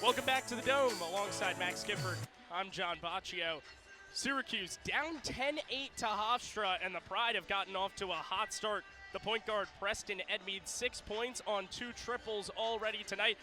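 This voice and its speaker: A man's voice: high voice, moderate pace, over music. A man working as a sports reporter and announcer, delivering game news and commentary in a punchy, emphatic way.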